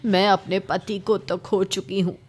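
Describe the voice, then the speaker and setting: A woman's voice: medium pitch, little pitch movement, measured pace, lightly acted. A woman voicing a worried, grieving queen pleading for help. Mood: anxious. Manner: anxious, pleading.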